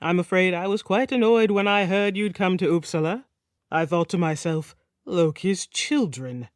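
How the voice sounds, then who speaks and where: A woman's voice: fairly low pitch, moderate pace, dry recording, clean studio sound, close to an everyday voice. A woman voicing a flamboyant, talkative male king with a sing-song Nordic accent, effusive and teasing. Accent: Nordic, put on. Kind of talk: audiobook character voice.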